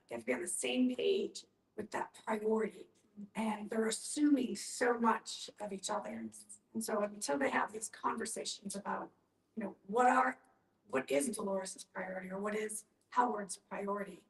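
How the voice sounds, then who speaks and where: A woman speaking as a panelist in an earnest group discussion, explaining her points with emphasis. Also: a little high. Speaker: panelist at a discussion on end-of-life planning. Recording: roomy echo, distant from the mic.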